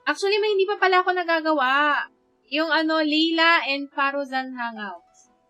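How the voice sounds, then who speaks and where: A high, bright female voice with a Filipino accent takes its time over casual livestream chat. She is a native Tagalog speaker and often pauses to search for the next word, thinking aloud. Soft music plays behind her. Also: highs cut off. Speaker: live game streamer.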